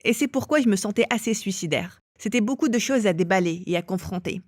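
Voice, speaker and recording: Woman telling her life story in an interview, steady and calm, dry close sound. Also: medium pitch, brisk, no room sound. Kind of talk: personal testimony.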